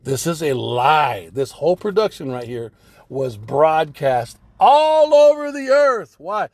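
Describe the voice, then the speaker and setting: A man's voice: a little high, big pitch swings, takes it slowly, no room sound. A man giving a blunt, profane religious commentary in a casual ranting style, swearing heavily.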